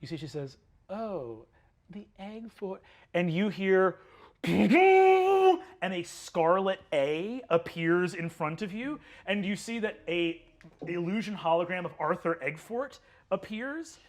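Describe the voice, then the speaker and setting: A man narrating a tabletop game as game master, in an unhurried, amused way with fillers. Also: high-pitched, very animated.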